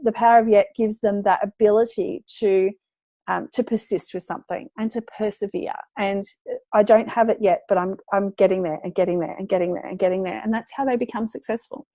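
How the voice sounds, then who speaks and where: Slightly hoarse woman chatting to parents. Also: mid-range voice, darkish tone, no room sound. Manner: chatty, encouraging, lots of fillers. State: losing her voice. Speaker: parenting educator. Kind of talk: casual talk to parents.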